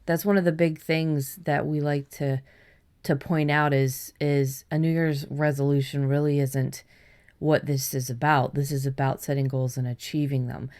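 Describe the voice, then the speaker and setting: A woman hosting a writing podcast in casual chat, talking in quick bursts with many restarts and fillers. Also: low-pitched, level tone, darkish tone. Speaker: podcast host.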